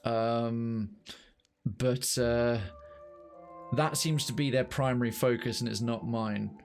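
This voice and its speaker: A man's voice: medium pitch, fairly even intonation, slow. A man streaming a video game, reading narration aloud and musing over it as he goes. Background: faint game music.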